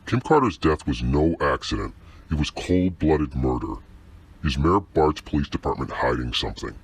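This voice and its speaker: Very deep male voice, husky, grave, accusing, actor as anonymous informant, audio drama. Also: lively intonation, moderate pace, heavily acted.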